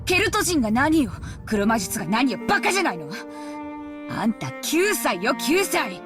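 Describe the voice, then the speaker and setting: An actress playing a harsh, short-tempered young mother with a sharp, clipped way of talking, in a visual novel game. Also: sits high, very animated, quick pace. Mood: furious, scolding. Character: harsh, short-tempered young mother scolding her nine-year-old child. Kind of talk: dramatic Japanese visual novel voice acting. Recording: studio voice over loud string music.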